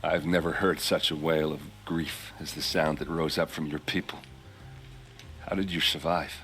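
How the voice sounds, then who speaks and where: A brash, deep-voiced young warrior. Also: male voice, little pitch movement, moderate pace, a bit bright, soft background music. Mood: concerned. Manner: blunt, hot-tempered, friendly. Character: brash, hearty young male warrior captain. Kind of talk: video game cutscene acting.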